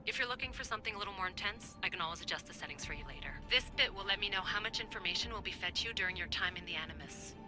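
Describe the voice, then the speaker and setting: An actress plays a woman tech assistant in a video game. She is loyal and cautious, with a helpful, lightly teasing way of talking. Music is clearly heard behind her. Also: mid-range voice, very fast, hardly any pauses, close to an everyday voice. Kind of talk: video game cutscene acting.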